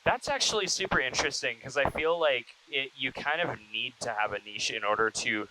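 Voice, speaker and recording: A man hosting a casual interview, talking in a halting, rambling way with repeated false starts. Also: medium pitch. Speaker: podcast host, interviewer. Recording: noticeable background noise.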